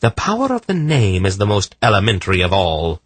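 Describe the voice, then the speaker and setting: A man's voice: lowish voice, wildly swooping pitch, brisk, slightly rough, no room sound, voice pushed up, exaggerated voice. A man voicing a stern sorceress in a fantasy audiobook, cold, imperious and sure of her power. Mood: scornful, triumphant.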